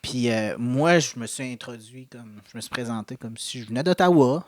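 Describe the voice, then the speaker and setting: Man, Québécois accent, mid pitch, dry close mic, rambling casual storytelling. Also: moderate pace, a little mellow, dry recording. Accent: Québécois French. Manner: rambling, many fillers, laughs while telling stories. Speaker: podcast co-host. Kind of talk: casual chat.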